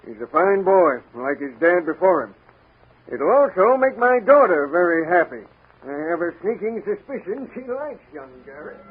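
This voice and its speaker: An actor playing a concerned, fatherly older police commissioner with a husky voice in a 1940s radio drama. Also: sits high, very animated, normal speed, slight background noise. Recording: tinny 1940 radio recording.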